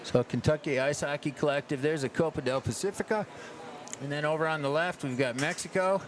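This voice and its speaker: An American man hosting a livestream from a sports event in a casual, halting way, pausing often mid-sentence. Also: fairly high pitch. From United States. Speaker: livestream host at an ice hockey tournament. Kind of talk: casual live chat. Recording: clear urban ambient noise, live on location.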